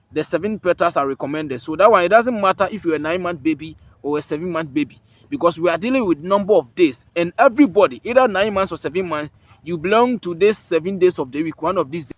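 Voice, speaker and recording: High-voiced Ghanaian man giving instructions. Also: no echo. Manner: repetitive, emphatic, restates each step. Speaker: herbal remedy channel host. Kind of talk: step-by-step spoken instructions.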